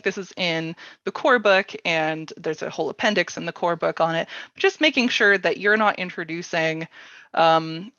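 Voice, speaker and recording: A woman hosting a casual panel discussion, thinking aloud informally in halting phrases. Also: lowish voice, fairly bright tone. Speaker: workshop host and panelist. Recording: roomy, slightly dull sound.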